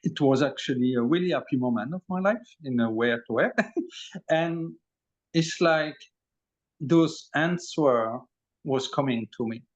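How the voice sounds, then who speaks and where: A man in his forties, from Belgium, speaks with a French accent, searching for his words with many pauses. He talks slowly at an ordinary pitch. The recording is dull and lo-fi, as over a video call. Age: 40s. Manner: halting, with many pauses and fillers. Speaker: podcast guest, energy healer. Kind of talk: casual interview talk. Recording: dull lo-fi audio.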